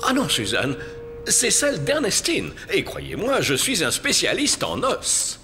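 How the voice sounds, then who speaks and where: A man playing a stubborn, excitable animal doctor in a children's cartoon, emphatic and contrary. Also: high-pitched, measured pace, faint music behind, heavily acted. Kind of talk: children's cartoon dubbing in French.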